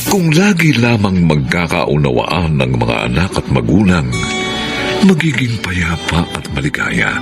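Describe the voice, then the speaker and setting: A Filipino man narrates a radio drama in a deep, gravelly voice. His delivery is solemn and theatrical, with very wide swings in pitch. It is deliberate scripted storytelling. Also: wildly swooping pitch, loud music behind the voice.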